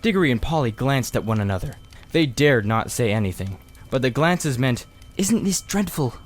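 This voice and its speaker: A man reading a children's story aloud as expressive scripted narration. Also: medium pitch, brisk, faint music behind. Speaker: audiobook reader.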